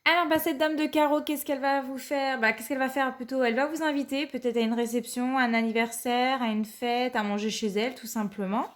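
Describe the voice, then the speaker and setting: A woman's voice: sits high, fairly even intonation, fairly quick, hardly any pauses. A woman with a cold giving a weekly card reading to her viewers, hesitant and full of fillers. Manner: hesitant, many fillers, repeats "tout simplement". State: has a cold. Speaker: card reader.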